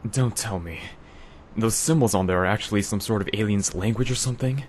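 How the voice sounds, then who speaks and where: An actor playing a baffled high school boy in an anime fan dub, grumbling and incredulous. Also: a little fast, a little hiss or hum, natural voice. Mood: bewildered.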